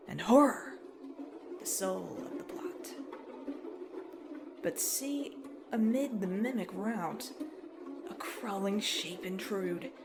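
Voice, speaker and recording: Clear background noise surrounds a woman performing as a grave, ominous reciter of macabre verse. The delivery is extremely slow and theatrical, with a gravelly creak and menacing drops toward a whisper. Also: medium pitch. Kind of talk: dramatic Gothic poetry recitation.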